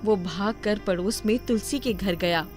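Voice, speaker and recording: A woman's voice: medium pitch, moderate pace, a little mellow, faint music behind. A woman reading scripted narration for a moral story as its storyteller.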